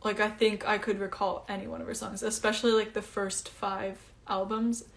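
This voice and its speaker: A woman's voice: medium pitch, flat delivery, moderate pace, vocal fry. A young woman, a singer chatting casually at home during a phone livestream, answering comments in a breezy way with lots of fillers. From Iceland. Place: at home, indoors.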